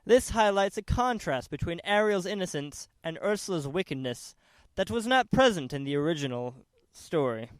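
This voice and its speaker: A man's voice: high-pitched, lively intonation, normal speed, smooth, no echo. A man narrating a documentary from a script in a formal, careful, essay-like manner. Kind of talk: scripted narration, read aloud.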